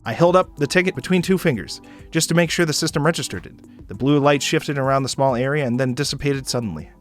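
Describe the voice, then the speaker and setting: A man reading a story aloud as a streamer, in expressive narration with casual asides. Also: medium pitch, quick pace, soft background music. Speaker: streamer narrating a visual novel.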